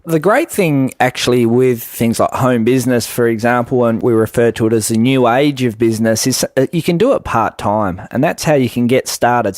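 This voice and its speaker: A man's voice: mid-range voice, a little mellow, no echo. A man with a mid-range voice gives explanatory answers as an interview guest. The sound is dry, with very little room around it. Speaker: guest business expert.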